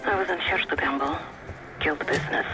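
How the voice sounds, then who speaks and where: A man's voice: fairly high pitch, normal speed, music in the background, close to an everyday voice, rough recording. A man playing a grim, world-weary insider in a video game, speaking tersely over comms. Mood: grim, wary. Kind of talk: video game cutscene acting.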